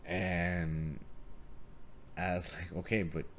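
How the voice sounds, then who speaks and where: Deep-voiced man with a strong, carrying voice. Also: slow pace, slight background noise. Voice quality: strong voice that carries. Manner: animated storyteller, acts out quoted arguments. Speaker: martial arts coach and gym owner. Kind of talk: casual podcast storytelling.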